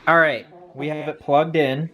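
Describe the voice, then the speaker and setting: A man's voice: on the high side, level tone, moderate pace, lo-fi sound. A young man casually hosting an unboxing video, informal and prone to swearing. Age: young adult. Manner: informal, crude-joking, swearing. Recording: noisy room recording with clicks.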